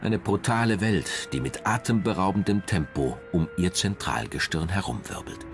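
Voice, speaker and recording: The low, expressive male voice that guides viewers through a late-night television documentary, music underneath. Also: normal speed, creaky. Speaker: documentary narrator. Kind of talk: scripted narration.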